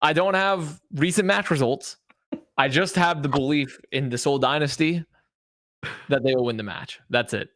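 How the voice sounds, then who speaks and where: A man's voice: a little high, moderate pace, a bit bright, no room sound, clean studio sound. A man chatting casually as an esports panelist, insistently repeating and circling back on his points. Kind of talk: casual chat.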